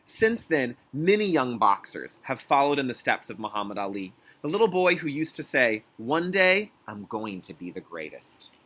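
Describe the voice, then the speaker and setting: A man with a slightly rough, husky voice speaks as a teacher to young children. His pitch moves in a very lively way as he reads aloud and explains, with deliberate, instructive wording. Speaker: kindergarten teacher.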